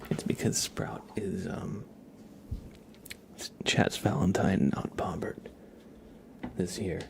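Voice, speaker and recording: A man hosting an ASMR livestream, chatting playfully with viewers in a soft, whispery, breathy voice with mock-serious teasing. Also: low-pitched, fairly even intonation, slow.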